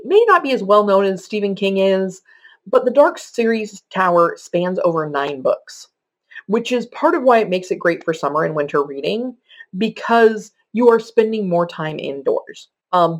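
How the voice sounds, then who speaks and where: Conversational woman presenting a countdown list. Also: medium pitch. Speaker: podcaster giving book recommendations.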